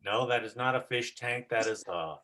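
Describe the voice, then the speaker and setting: A woman's voice: extremely low pitch, normal speed, a bit bright. A woman hosting a group session over a video call, talking casually as a teacher. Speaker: host and teacher of an online children's drama session.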